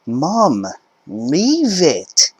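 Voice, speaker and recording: A man voicing a young adult daughter who tries to calm her angry mother. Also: on the high side, extremely slow, husky, pitched above the performer's own voice, full character voice. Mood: pleading.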